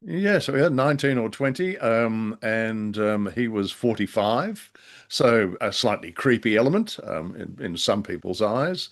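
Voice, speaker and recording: Low-voiced man reviewing on a podcast. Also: expressive, measured pace. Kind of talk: casual discussion.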